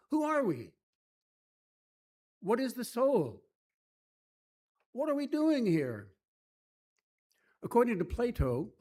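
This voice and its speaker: High male voice, mellow tone, measured church reading, deliberate pauses. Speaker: service leader giving an opening reading. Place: church service, speaking to a congregation.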